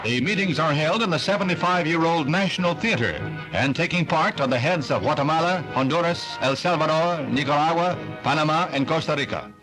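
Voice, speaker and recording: A man's voice: fairly high pitch, a little fast, low-quality recording. An American man reading scripted 1960s newsreel narration in a declamatory announcer's delivery, on a vintage soundtrack. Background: newsreel music.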